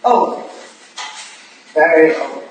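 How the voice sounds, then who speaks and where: A woman acts a proud, aging English lady mentor in a stage drama, stern and dry, with lively swings in pitch. She sounds far from the mic in a very echoey hall. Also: full character voice. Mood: proud resignation. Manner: dry, imperious, deliberate. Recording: echoey hall, distant mic, faint noise.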